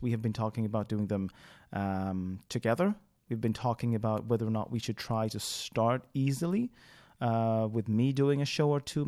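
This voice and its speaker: A man whose first language is Swedish, hosting a podcast in English and talking through his thoughts out loud. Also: mid-range voice. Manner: thinking aloud, frequent fillers and restarts. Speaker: podcast host. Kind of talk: solo podcast monologue.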